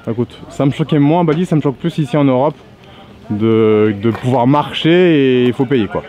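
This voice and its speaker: A man's voice: mid-range voice, moderate pace, dark tone. A man joking and chatting casually while walking outdoors, filming a travel vlog. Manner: joking and teasing. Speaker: travel vlogger. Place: outdoors on a nature reserve trail.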